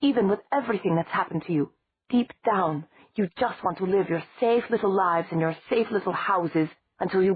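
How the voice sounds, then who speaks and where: A cold, scornful young male vampire in a storybook reading, as a woman narrator voices him. The voice sits low and husky, with clipped, bitter phrasing. It comes through a thin, phone-like recording with no room sound. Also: exaggerated voice. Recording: dry, tinny phone-like sound.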